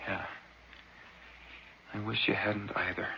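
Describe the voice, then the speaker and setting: An actor playing a husband in a horror radio drama who tries to calm his frightened wife while sounding rattled and hesitant himself. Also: fairly low pitch, takes it slowly, vocal fry, exaggerated voice. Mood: uneasy, placating. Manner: reassuring but rattled, hesitant. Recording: dull, band-limited, noisy radio-style recording.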